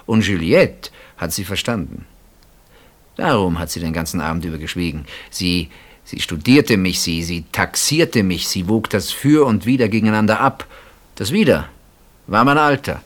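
Deep-voiced, brooding middle-aged husband. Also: male voice, lively intonation. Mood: brooding, intense. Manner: hesitant pauses, deliberate reflective phrasing. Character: middle-aged husband Pierre, brooding, casual, wryly reflective. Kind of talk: radio play acting, intimate dialogue.

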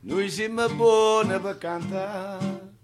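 A man's voice: high-pitched, steady tone, very slow. A man with a southern Italian accent sings a folk theatre song over plucked guitar. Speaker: singer. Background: plucked acoustic guitar.

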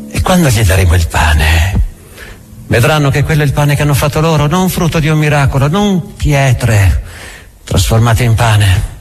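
An actor playing a bitter, domineering old Grand Inquisitor in a theatrical radio drama, halting and repeating his words. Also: medium pitch, natural voice. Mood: agitated defiance. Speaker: stage actor.

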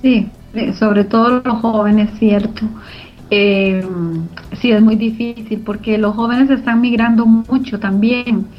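Female guest, higher-pitched, conversational explainer; muffled lo-fi sound. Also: fairly even intonation, slow. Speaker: interview guest, radio drama producer. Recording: dull lo-fi audio, music behind. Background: clear background music.

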